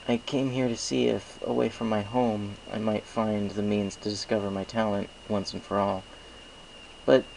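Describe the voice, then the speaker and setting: Storybook stallion, read by a man: anxious, self-doubting, halting meek delivery, gravelly edge, light noise. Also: lowish voice, darkish tone, poor audio. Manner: hesitant, stammering, meek. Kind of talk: acted audiobook character dialogue.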